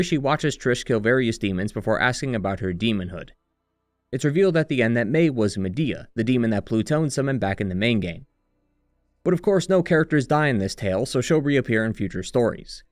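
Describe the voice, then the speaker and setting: A man's voice: medium pitch, dry recording, studio quality. A man narrating a scripted retrospective as a video essayist, with sardonic, wry commentary. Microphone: close, dry voice-over mic.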